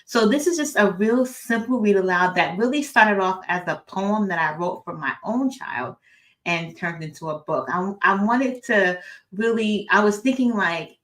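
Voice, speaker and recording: Middle-aged woman speaker, slightly low voice. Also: fairly bright tone. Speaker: author and educator, guest speaker. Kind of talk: informal introduction and personal talk.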